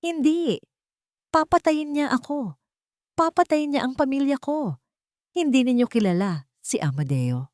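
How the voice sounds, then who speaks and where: A woman's voice: high voice, expressive, a little slow, pauses often, darkish tone, no room sound, studio quality, voice pushed up. A woman voicing a frightened, pleading uncle with a trembling voice in an audio story. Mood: panicked. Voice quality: trembling, breaking voice. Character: terrified pleading uncle, weepy and desperate man.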